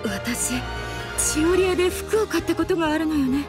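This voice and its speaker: A woman's voice: very high voice, moderate pace, over music. A woman playing an easily unsettled, gossipy townswoman in a Japanese game, with a dramatic manner. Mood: uneasy, gossiping. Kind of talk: Japanese anime-style game acting.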